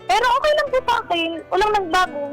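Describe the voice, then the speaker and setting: Woman, very high pitch, polite, talkative, radio call-in, music behind. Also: very animated. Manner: talkative, rapid run-on storytelling, polite. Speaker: caller seeking relationship advice. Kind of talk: casual personal account to radio hosts.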